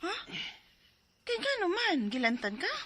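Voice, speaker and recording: A woman's voice: extremely high pitch, very animated, unhurried, bright, forceful tone, a little hiss or hum, exaggerated voice. A woman acting an anxious, fussing middle-aged mother with a Cebuano accent in a radio drama, exclaiming as she goes. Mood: concerned, fussing.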